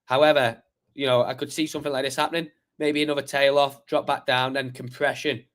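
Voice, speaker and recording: Male streamer, croaky voice, mid-pitched, rambling casual chart talk. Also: flat delivery, a little fast, a bit bright. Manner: chatty, rambling, many fillers and restarts. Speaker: crypto livestream host. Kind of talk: casual live chart analysis.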